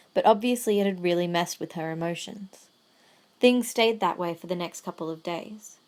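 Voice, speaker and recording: A woman serves as narrator of an audio drama. Her voice sits at a medium pitch as she reads scripted story passages. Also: a little mellow.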